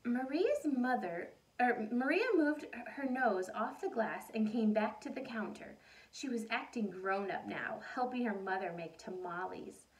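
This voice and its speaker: A woman reading a picture book aloud like a teacher, animated, pausing to put questions and asides to young listeners. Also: a little high. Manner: animated storytelling, addresses young listeners directly. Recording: voice distant from the microphone.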